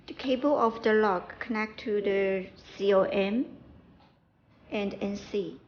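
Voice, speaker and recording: A woman giving an informal step-by-step product tutorial in halting, non-native English, repeating her instructions. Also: medium pitch, dull audio. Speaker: product support demonstrator.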